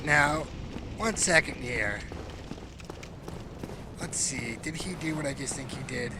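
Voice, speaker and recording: A man's voice: medium pitch, slow, very noisy. A man giving casual, self-deprecating commentary as he plays a video game, often muttering wryly to himself. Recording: loud game soundtrack behind voice. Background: video game music and sounds.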